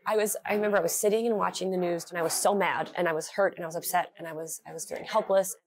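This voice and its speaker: A woman's voice: lowish voice, fairly even intonation, rapid-fire. A young woman, a shop owner, speaking in an interview in an earnest, heartfelt way, on a lapel mic in her shop. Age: young adult. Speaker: small business owner. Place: candle shop, indoors.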